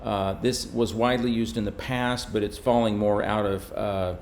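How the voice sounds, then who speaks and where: A man with a fairly low voice explains technical material as a presenter. His delivery is measured and informal, like walking through slides. Faint noise sits behind the voice. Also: fairly even intonation, darkish tone. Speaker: presenter from a radio maker.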